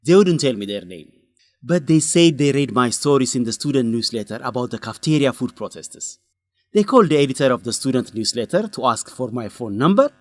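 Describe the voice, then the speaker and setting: Radio drama student journalist, male actor: young, determined, emphatic, lively intonation, dry recording. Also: mid-range voice, a little fast, no echo, lightly acted. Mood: urgent, serious. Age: young adult.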